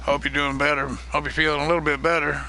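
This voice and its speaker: A man hosting a live stream of a multiplayer farming game, chatting casually and greeting viewers by name, his voice getting a little hoarse. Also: a little high, flat delivery, fast, bright, forceful tone, slight background noise. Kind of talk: casual live-stream chat while gaming.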